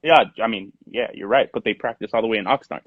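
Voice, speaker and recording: A man's voice: lowish voice, fast, dry recording. A young man from Long Beach, talking hesitantly in a casual live chat, often stammering as he starts his thoughts. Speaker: guest. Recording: dull dry lo-fi audio.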